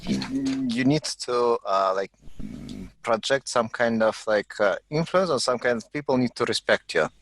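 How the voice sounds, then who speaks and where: A man's voice: mid-range voice, measured pace, dry recording. A man from Russia speaking as a panelist in an online discussion, hesitant with many fillers. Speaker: panelist, development director. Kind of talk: casual panel talk.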